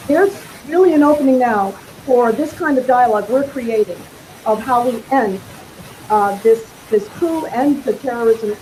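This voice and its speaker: A woman's voice: on the high side, background noise. A woman giving an earnest, emphatic speech at a political meeting. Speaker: political speaker.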